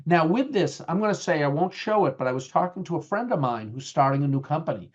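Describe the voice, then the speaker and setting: Man, boston accent, middle-aged, webinar presenter, chatty with lots of ums and restarts, a bit high, dull lo-fi audio. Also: animated, fast talker. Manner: conversational, many fillers and restarts. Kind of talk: webinar talk with slides.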